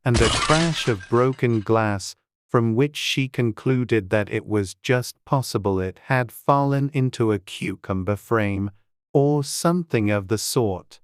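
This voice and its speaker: A man reads a classic children's story aloud at an unhurried, measured pace. His delivery is gentle and even, and the recording is close and very dry. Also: mid-range voice, no room sound. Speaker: audiobook narrator. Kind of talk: scripted storybook narration.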